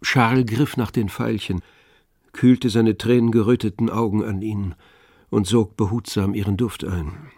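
A man narrating a literary radio drama in a measured, composed storytelling manner. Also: on the low side, fairly even intonation, a little mellow, no echo, clean studio sound. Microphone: close, dry studio microphone.

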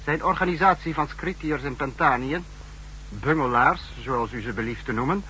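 Actor as a stern, sharp-voiced state Protector. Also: male voice, mid-range voice, moderate pace, a little hiss or hum, close to an everyday voice. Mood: stern, cutting. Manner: formal, authoritative, clipped commands. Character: stern, sceptical male Protector, high-ranking state leader. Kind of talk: 1960s Dutch radio drama acting. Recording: muffled-edged vintage 1960s radio recording.

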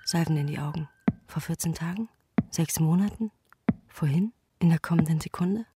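A woman's voice: lowish voice, normal speed, dark tone, husky, no room sound, studio quality. An actress playing a desperate, obsessive woman as an inner voice in a literary radio drama, speaking in repetitive fragments that sometimes drop to a whisper. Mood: exhausted dread.

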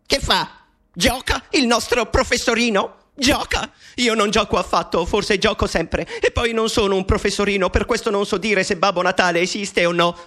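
A young eccentric mathematician in a radio drama, with a high-pitched male voice. He speaks intimately and playfully, with a nervous, eccentric edge, as if confiding in his mother. It is a studio recording. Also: normal speed, bright, forceful tone. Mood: reflective. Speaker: actor playing Alan Turing. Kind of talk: theatrical radio monologue.

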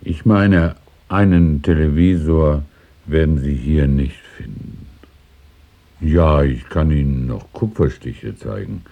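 An actor playing a courteous, wistful old antique shopkeeper in a radio drama, speaking haltingly and confidingly. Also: male voice, a bass voice, creaky, narrow, tinny audio, exaggerated voice. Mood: confiding, gentle. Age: older. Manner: halting, polite, confiding, chuckling old-man speech. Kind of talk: 1970s German radio drama acting.

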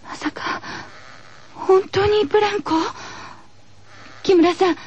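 A woman's voice: very high-pitched, takes it slowly, darkish tone. A woman playing a startled female schoolteacher who tries to stay composed as she addresses her pupil in a horror radio drama. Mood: alarmed, urgent. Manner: addresses her pupil in feminine, instructive speech. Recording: dull lo-fi radio recording with music.

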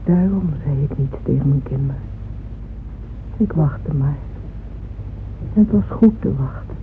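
Heartbroken woman patron, radio play monologue, unhurried, halting repetitions, narrow phone-like sound. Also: low voice. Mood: wistful waiting. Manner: hushed, halting, repeats words in anguish. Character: grieving, lovesick woman patron, mother, narrating her heartbreak. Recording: muffled phone-like old radio recording, noisy.

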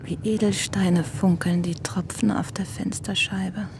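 A slow, dreamy, melancholy bride. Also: female voice, mid-range voice, steady tone, gravelly, noisy background. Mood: pensive. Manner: dreamy, subdued, brooding. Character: uneasy, melancholy young bride willing herself cheerful. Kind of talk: introspective radio drama acting. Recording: loud music behind the voice.

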